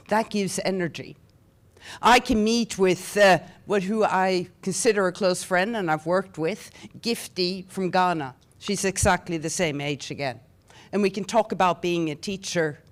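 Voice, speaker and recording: A Norwegian woman in her sixties, a veteran teacher and union official, speaking reflectively on a panel. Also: on the low side. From Norway. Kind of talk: panel discussion.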